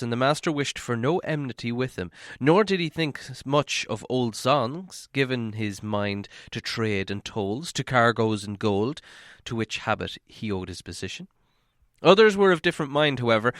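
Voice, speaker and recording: A man reading a fantasy story as an audiobook narrator, dramatic and expressive. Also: medium pitch, dry recording, studio quality.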